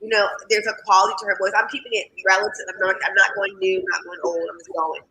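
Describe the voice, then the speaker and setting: Raspy, gravelly-voiced singer talking candidly. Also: mid-range voice, fairly even intonation, fast talker, pushed and bright. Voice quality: raspy, scratchy voice. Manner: candid, rambling, many fillers and restarts. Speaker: guest singer and songwriter. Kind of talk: casual interview chat.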